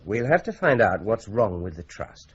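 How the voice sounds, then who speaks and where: An actor playing a shrewd, probing police investigator in a radio drama, measured and dry in manner. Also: male voice, on the low side, very animated, slightly rough, heavily acted. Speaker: police detective investigating a murder. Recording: dull lo-fi radio recording.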